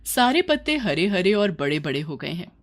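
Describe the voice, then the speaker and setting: A woman's voice: fairly low pitch, big pitch swings, moderate pace. A woman narrating a scripted moral story for children as its storyteller.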